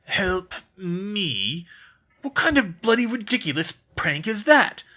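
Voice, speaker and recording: A man's voice: high voice, sing-song, slow, bright, forceful tone, voice pushed up, exaggerated voice. A man voicing a sour, suspicious old male caretaker with a wheezy voice who snaps and stammers when flustered. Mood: hostile.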